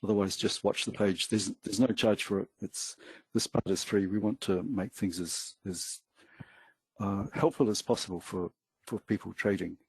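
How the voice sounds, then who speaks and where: Man, New Zealand accent, husky, low voice, webinar co-host, relaxed, halting delivery. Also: no echo, rough recording. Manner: relaxed, hesitant, many fillers. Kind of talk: casual webinar talk.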